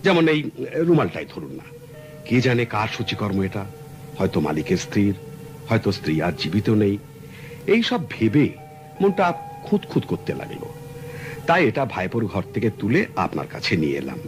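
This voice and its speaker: A man's voice: lowish voice, wildly swooping pitch, normal speed, long pauses, over music. An actor playing a polite, earnest middle-aged client in a radio drama, explaining his story courteously and at length. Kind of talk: 1970s radio drama acting. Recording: old radio recording with faint hiss.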